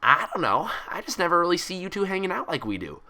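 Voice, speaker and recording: A man's voice: high voice, very animated, fast talker, sharp tone, voice pushed up, heavily acted. A man voicing a hyper, cheerful, gullible young female cartoon pony in bubbly, rambling, childlike chatter. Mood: chatty.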